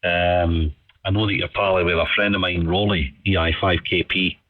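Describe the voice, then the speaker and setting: A man's voice: sits low, little pitch movement, normal speed, a little hiss or hum. A man chatting casually and in a friendly, rambling way over a ham radio transmission with muffled audio. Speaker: amateur radio operator. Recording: dull band-limited ham radio audio.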